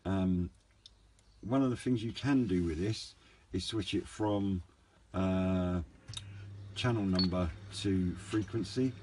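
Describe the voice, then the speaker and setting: A man with a low voice talks through a hobby product review without a script. His delivery is hesitant and low-key, wandering a little as he goes. There is clear background noise in the recording, picked up on a handheld camera. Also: slow, a little mellow. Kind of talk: casual, unscripted product review.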